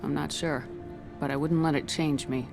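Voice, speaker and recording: A composed female commander from a video game. She speaks in a low register, firm and direct but concerned. Music is clearly heard behind her. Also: fairly even intonation, moderate pace. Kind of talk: video game dramatic dialogue.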